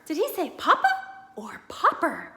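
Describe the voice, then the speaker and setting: A woman's voice: high-pitched, wildly swooping pitch, normal speed, sharp tone, pitched above the performer's own voice, heavily acted. A woman reading aloud, voicing a thrifty, worried housewife who scolds sharply. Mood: fretful. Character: fussy thrifty housewife, middle-aged.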